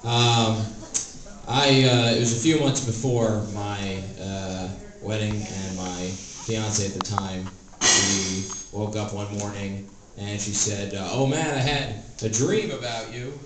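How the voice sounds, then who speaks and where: A man's voice: on the low side, steady tone, takes it slowly, a crowd in the background. A man of thirty doing a stand-up comedy set on a club stage, with a dry, hesitant delivery full of "uh" and "like". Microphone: stage microphone. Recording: roomy club sound from a distance, audience noise. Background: audience laughter.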